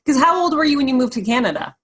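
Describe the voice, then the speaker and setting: A woman's voice: high voice, animated, racing, a bit bright, breathy edge. A woman hosting a podcast who asks her guest eager, curious questions in casual chat. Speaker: podcast host.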